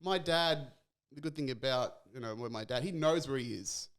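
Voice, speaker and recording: A young Australian man hosting a casual podcast chat, relaxed and chatty, laughing easily. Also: medium pitch, normal speed, clear, resonant tone. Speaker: podcast host.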